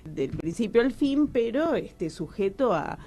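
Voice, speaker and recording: A woman's voice: medium pitch, big pitch swings, measured pace, warm tone. A woman with an Argentine accent, an actress and writer, talking casually as a radio guest in a rambling, hesitant way. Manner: rambling, conversational, hesitant with repeated words.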